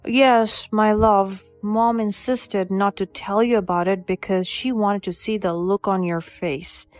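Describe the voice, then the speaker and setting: A woman's voice: mid-range voice, steady tone, a little slow, soft background music, natural voice. A woman voicing a timid young husband who is a mama's boy, hesitant and defensive. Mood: nervous.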